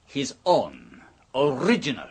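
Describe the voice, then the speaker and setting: An actor playing a proud, touchy chef, speaking in a grandiose, theatrical way in a radio drama. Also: male voice, mid-range voice, wildly swooping pitch, slow, background noise. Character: proud Italian chef Luigi, touchy about lineage. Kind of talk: 1970s radio drama acting. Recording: old radio recording.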